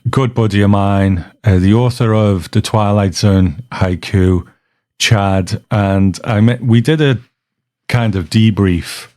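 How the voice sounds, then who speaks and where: A man with a low voice hosts a podcast in a dry, close recording. He talks casually and reflectively, wandering into asides as he goes. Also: takes it slowly, mellow, no room sound. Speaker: podcast host. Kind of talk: casual hosting talk, introducing listener clips.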